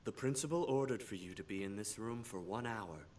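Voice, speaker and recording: Very low-voiced preachy school counselor. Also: female voice, expressive, a little fast, darkish tone. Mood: stern. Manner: earnest, lecturing. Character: patient, preachy adult high school counselor. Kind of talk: visual novel game voice acting.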